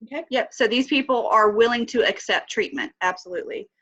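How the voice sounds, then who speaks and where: A woman's voice: a little high, brisk, sharp tone, lo-fi sound. A woman teaching an online class with a slight cold, asking students questions and using many fillers. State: has a cold, sniffly. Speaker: instructor.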